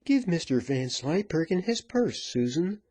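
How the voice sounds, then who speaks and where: Man voicing a firm, prudent woman, pitched up. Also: sing-song, unhurried, a little mellow, breathy edge, full character voice. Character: prudent, bossy woman calming rowdy companions. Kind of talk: dramatized storybook reading.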